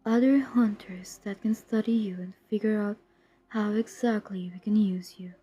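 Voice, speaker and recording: A woman playing a centuries-old, guilt-ridden but determined vampire hunter in an intimate audio roleplay, speaking in a hushed, confessional, breathy voice that often drops to a whisper. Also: medium pitch, steady tone, faint music behind, lightly acted. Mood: apologetic, persuading. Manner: hushed, confessional, halting, earnest. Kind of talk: intimate dramatic audio roleplay addressed to the listener.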